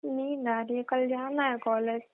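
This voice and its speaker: A woman's voice: high voice, fairly even intonation, normal speed, no echo. A young woman chatting casually and intimately on a phone call, with a coy, protesting and pleading manner. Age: young adult. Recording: muffled narrow-band phone call recording.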